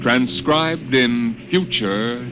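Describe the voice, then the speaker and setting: A man's voice: medium pitch, very slow, heavy background noise. A male announcer dramatically introducing a 1950s radio program. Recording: 1950s radio recording with very loud music.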